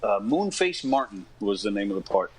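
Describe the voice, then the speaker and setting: A man of about fifty from Long Island, New York, telling stories casually as an interview guest, in a halting, informal way that often doubles back. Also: on the low side, very animated, darkish tone, a little hiss or hum, rough recording. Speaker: interview guest, stage actor. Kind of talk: casual conversational storytelling.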